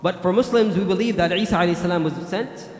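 A man in his thirties preaches a Friday sermon at a fast clip with a fairly high voice. He stresses points by repeating them and breaks into rapid chanted Quranic recitation. The sound comes off a stand mic in a big mosque hall that rings with long reverb. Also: darkish tone. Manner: recites Quranic Arabic in a fast chant between English explanation; emphatic, repetitive preaching with gestures. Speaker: imam giving Friday sermon. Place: large mosque prayer hall.

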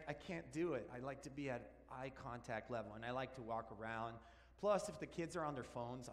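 A man in his forties from Argentina, a native Spanish speaker, preaching an animated, joking sermon while walking around the stage. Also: mid-range voice. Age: 40s. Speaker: pastor. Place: large church sanctuary. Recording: long church hall reverb.

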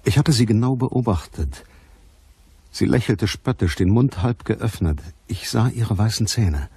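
Hard-boiled detective, low husky voice, terse, unsentimental, crime radio play narration. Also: male voice, lively intonation, unhurried, dark tone, no echo, full character voice. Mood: watchful, cool. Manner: terse, matter-of-fact storytelling. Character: hard-boiled private detective, cool, watchful, unsentimental man. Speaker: actor playing narrator and lead detective. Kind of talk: scripted first-person crime radio drama narration.

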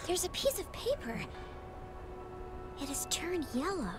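Anime game little girl: high, soft, plucky and earnest, music behind. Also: big pitch swings, measured pace, full character voice. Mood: sad. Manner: earnest, simple childlike phrasing. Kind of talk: anime video game voice acting.

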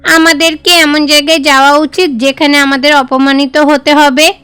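A woman voicing little cartoon mouse, loyal, worried, high pitch. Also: little pitch movement, normal speed, bright, forceful tone, soft background music. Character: small loyal mouse, worried mount of a god.

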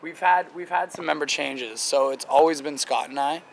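Late-20s male singer, gravelly and a bit hoarse, laid-back interview talk, outdoor take with faint traffic. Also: on the high side, normal speed, rough recording. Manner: relaxed, chatty, many "like" fillers. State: slightly rough, strained voice. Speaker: band singer. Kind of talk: casual interview answers. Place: outdoor table by a river. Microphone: handheld interviewer mic near the table.

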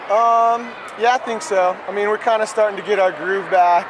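A man in his thirties, a soccer player giving relaxed, loose postgame answers on an indoor arena floor. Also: high voice, normal speed. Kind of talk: postgame interview. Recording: noisy arena.

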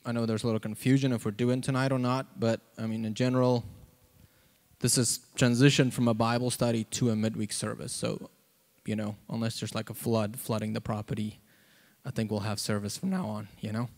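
Gravelly man preaching casually. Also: mid-range voice, little pitch movement, a little mellow. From South Africa. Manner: casual, halting, conversational, peppered with "like" and "you know". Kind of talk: informal sermon and personal testimony. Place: church auditorium, on stage before seated congregation. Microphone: handheld microphone.

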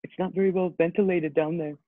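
A man's voice: high-pitched, steady tone, quick pace, no room sound, lightly acted. A man playing a dim, earnest, vain young male model in an amateur comedy script read over video call. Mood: earnest, wounded. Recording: thin phone-like video-call audio.

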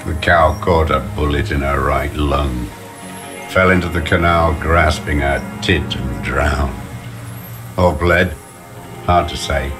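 Very deep, bitter old deserter. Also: male voice. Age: older. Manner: slow, contemptuous, brooding. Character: bitter old communist deserter, male, hateful and rambling. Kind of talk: dramatic video game voice acting. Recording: music under the voice.

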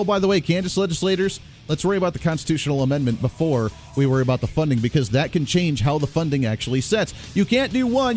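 A man's voice: a little high, darkish tone. A man hosting a political call-in radio show, talking in an emphatic, persuasive way that rallies his listeners. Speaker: radio talk show host. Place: radio studio. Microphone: close studio microphone.

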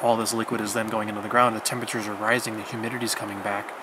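A man in his thirties explaining foraging outdoors in a wet forest, calm and patient as he teaches. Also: lowish voice, racing. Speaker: forager guide. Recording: noisy outdoor forest recording.

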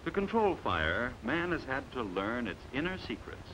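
An American man narrating a scripted 1950s training film in a measured, authoritative, emphatic manner, on a vintage soundtrack. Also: medium pitch, wildly swooping pitch, creaky, sharp tone, slight background noise, low-quality recording.

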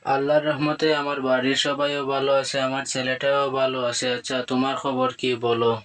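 A courteous male friend paying a visit in a cartoon, voiced by a man. His pitch is medium and barely moves. He talks in a measured, polite way. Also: little pitch movement, fairly bright tone, lightly acted. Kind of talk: scripted cartoon dialogue.

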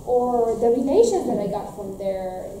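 A woman's voice: a little high, very animated, a little slow, dark tone, slight background noise. A young woman from Romania answering audience questions from a lecture podium, earnest and full of restarts and fillers. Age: young adult. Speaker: graduate student presenter. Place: lecture room at a podium, indoors. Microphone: room mic, heard from a distance. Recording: echoey lecture room from far off.

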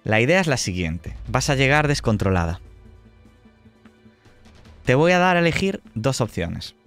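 A man's voice: mid-range voice, big pitch swings, measured pace, faint music behind. A man running a tabletop horror roleplaying game, narrating in a dramatic, suspenseful way. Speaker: game master.

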